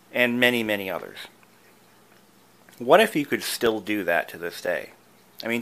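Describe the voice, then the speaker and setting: A man's voice: fairly low pitch, pushed and bright. A man narrating a casual how-to video as its host, explaining things informally with asides. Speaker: video creator and host, antique radio hobbyist.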